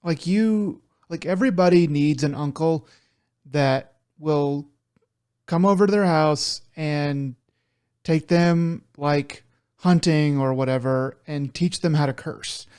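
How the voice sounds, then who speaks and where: Higher-pitched man, halting casual commentary. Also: darkish tone, smooth. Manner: halting, stammered repetitions of words. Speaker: podcast co-host.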